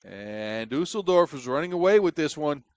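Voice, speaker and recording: A man's voice: on the high side, animated, normal speed, slight background noise. A man from the Netherlands with a Dutch accent, giving chatty, wry play-by-play basketball commentary on a close mic. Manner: chatty and wry, with jokes between plays.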